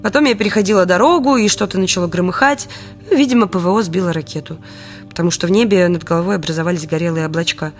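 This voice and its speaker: A woman's voice: fairly low pitch, fast talker, close to an everyday voice. A woman playing a sardonic, indignant city dweller in a documentary audio play, conversational and ironic as she recounts everyday life. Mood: matter-of-fact. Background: music.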